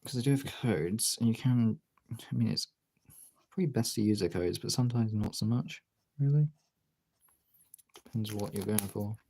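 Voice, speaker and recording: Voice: mid-range voice, normal speed, gravelly, long pauses, mellow, slight background noise. A streamer chatting casually while demonstrating drawing, talking in an informal, sweary way. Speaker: art streamer.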